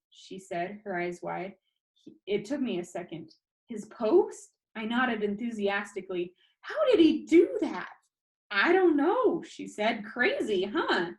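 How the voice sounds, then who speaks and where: A woman narrating a children's novel in a storybook-reading style, with expressive delivery. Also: medium pitch, poor audio. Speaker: storybook reader.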